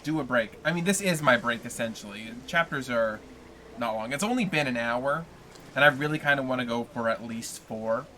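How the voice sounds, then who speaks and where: A man hosting a casual livestream, chatting loosely with viewers between chapters into a desk mic. His voice is bright and a bit sharp, and he rambles at an easy, friendly tempo. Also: medium pitch, normal speed, a little hiss or hum. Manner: relaxed, rambling, chats with viewers. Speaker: livestreamer reading a novel aloud. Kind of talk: casual stream chat with viewers.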